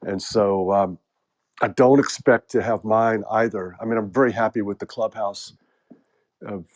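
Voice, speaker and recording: A man's voice: on the low side, steady tone, moderate pace, darkish tone. American man hosting a rambling chat. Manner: rambling and joking, with many fillers. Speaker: host. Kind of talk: casual group chat.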